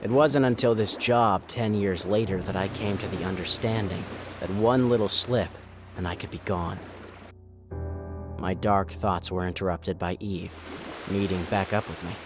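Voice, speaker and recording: Man acting a low-voiced, brooding rig worker. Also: fairly even intonation, moderate pace, lightly acted. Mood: reflective. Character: weary brooding male oil rig worker narrating his ordeal. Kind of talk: audio drama acting with first-person narration. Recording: music under the voice.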